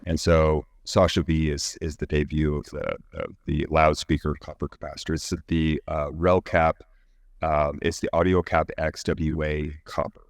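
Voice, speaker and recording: A man with an extremely low voice speaks in a close, dry recording. He answers interview questions as an executive, explaining engineering in measured, technical language that often halts mid-phrase. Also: little pitch movement, no echo.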